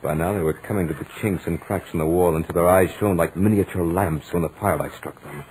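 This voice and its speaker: A man playing a scholarly gentleman lodger, narrating a haunted-house ordeal with dramatic, deliberate emphasis in 1940s radio style. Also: low-pitched, a little hiss or hum, close to an everyday voice, low-quality recording. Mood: uneasy, suspenseful. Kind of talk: 1940s radio horror acting, first-person narration. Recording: vintage 1940s radio recording, music behind.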